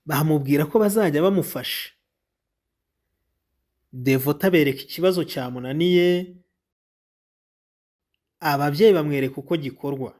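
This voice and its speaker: A man with a Rwandan accent and a fairly high-pitched voice reads aloud as a teacher. He speaks deliberately and instructively, as if guiding young children through a story and questioning them on it. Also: darkish tone. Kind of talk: read-aloud lesson with comprehension questions.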